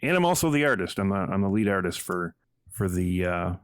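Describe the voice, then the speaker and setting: A man with a lowish voice, recorded dry, talking as a podcast guest. He is informal and self-effacing, rambling along in a conversational way. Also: very animated, brisk, clean tone, dry recording. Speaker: podcast guest, audio drama writer. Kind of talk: casual conversational interview.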